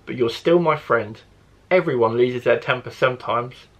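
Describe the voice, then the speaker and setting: A kind little schoolboy from a storybook, voiced by a male reader. He talks gently and earnestly, caring about his classmate, with a slightly husky tone. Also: mid-range voice, normal speed, natural voice. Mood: forgiving.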